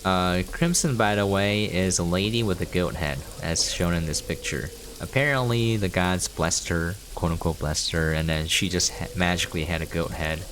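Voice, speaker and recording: Male game streamer, low register, casual walkthrough talk over light background noise. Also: moderate pace, few pauses, fairly bright tone. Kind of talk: casual gameplay commentary, explaining as playing.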